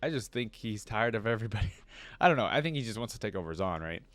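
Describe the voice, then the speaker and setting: Man, fairly low voice, dry sound, casual reaction host, informal fan delivery. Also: lively intonation, fast talker, fairly bright tone, no room sound, faint music behind. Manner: informal slangy fan talk. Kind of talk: casual reaction commentary with story read-aloud.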